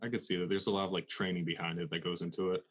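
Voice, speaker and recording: A man's voice: deep voice, level tone, very fast, slightly rough. A male podcast host chatting casually into a studio mic, laughing often and saying "like" a lot. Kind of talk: casual interview chat. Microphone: dynamic studio microphone.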